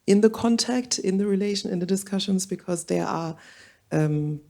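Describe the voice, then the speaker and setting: A woman's voice: medium pitch, normal speed, darkish tone. A woman from Germany speaking on a symposium panel, hesitant and searching for words, with many fillers. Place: auditorium.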